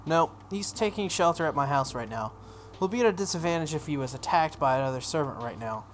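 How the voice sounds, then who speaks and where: Brisk teenage girl mage, visual novel played by a man: guarded, matter-of-fact, slightly high voice. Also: animated, close to an everyday voice. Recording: noticeable background noise.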